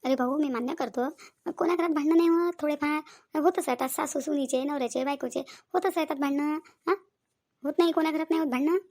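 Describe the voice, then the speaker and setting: A woman acts out a practical middle-aged village matriarch in a comedy cartoon. Her high voice runs quickly through long, repetitive reassurances in a Varhadi Marathi accent, always trying to keep the family peace. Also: a little mellow. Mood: placating. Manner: talkative, reassuring, repetitive persuasion.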